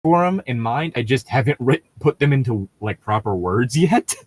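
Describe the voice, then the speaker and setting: A man co-hosting a casual story-reading chat, talking in a halting way and often rephrasing himself. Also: medium pitch, animated, breathy edge, no echo. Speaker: co-host reading online stories.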